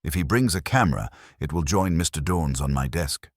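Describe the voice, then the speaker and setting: A man voicing an imperious master detective in an audiobook, speaking formally, deliberately and tersely. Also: rumbling low, lively intonation, no echo, clean studio sound. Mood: commanding, terse.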